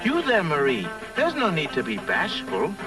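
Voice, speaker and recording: Chipper bossy young british musician, cartoon voice, swoops around, music behind. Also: male voice, a little high, wildly swooping pitch, normal speed, distant mic. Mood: coaxing. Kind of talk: 1960s cartoon voice acting.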